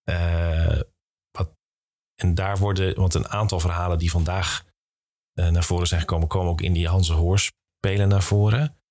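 A man with a Netherlands Dutch accent hosting a podcast interview conversationally, rephrasing haltingly as he goes. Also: low voice, level tone, darkish tone.

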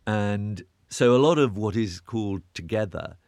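A British man with a very deep voice talks through his ideas in a loose, reflective way, circling back and stumbling over repeated words. The recording is dry and close, with no room sound. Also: animated, unhurried, a little mellow, dry recording. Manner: rambling, self-interrupting, repeats words when searching. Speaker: interview guest, urbanist. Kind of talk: reflective conversational interview.

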